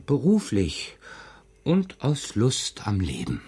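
A man's voice: on the low side, huge pitch swings, slow pace, dark tone, husky, heavily acted. An actor playing a playful, evasive middle-aged father who roams about and writes, with whimsical, hesitant phrasing in a children's radio play. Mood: wry. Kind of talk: German children's radio drama dialogue.

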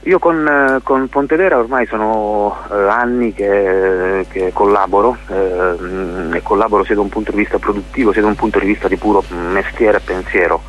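A man's voice: lowish voice, steady tone. A man being interviewed on radio as a playwright, speaking reflectively and often searching for his words. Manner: thoughtful, halting, searching for words. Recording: muffled radio interview with hum and hiss.

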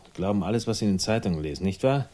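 An actor playing a brooding, sardonic detective who narrates and speaks in a 1960s German radio crime drama. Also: male voice, lowish voice, lively intonation, very fast, mellow, natural voice. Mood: agitated, bitter. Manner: laconic, sardonic. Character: dry, brooding hard-boiled male detective, defensive about a lost woman. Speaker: narrator and lead character.

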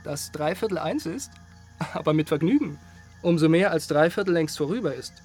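An exasperated, high-pitched mocking man. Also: lively intonation, normal speed, a little mellow, husky, faint music behind. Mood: curt, mocking. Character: exasperated, mocking male acquaintance on a night walk. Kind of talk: radio play acting.

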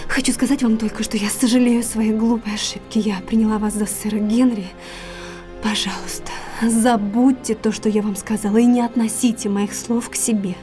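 An actress playing an anxious, secretive young gentlewoman in an audio drama, guarded and earnest. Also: fairly high pitch, flat delivery, moderate pace, soft background music, lightly acted. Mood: anxious, evasive.

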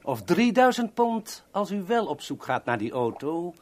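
An actor playing an oily, politely menacing go-between in a 1970s radio play, formal and insinuating. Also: male voice, high-pitched, very animated, unhurried, darkish tone, full character voice. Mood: insinuating, businesslike.

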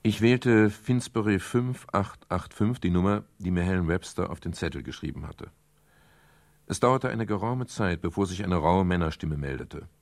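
An actor playing a brooding private detective who narrates his case in a measured, melancholy, literary way in a radio crime drama, with a gravelly, husky voice. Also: low voice, animated, darkish tone, no room sound. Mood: uneasy. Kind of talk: 1970s German radio crime drama, first-person narration.

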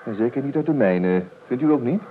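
An actor playing an idle, whimsical gentleman heir in a radio play, talkative and hesitant, repeating his words. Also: medium pitch, expressive, brisk, lightly acted. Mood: dreamy. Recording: muffled phone-like old radio recording, hiss.

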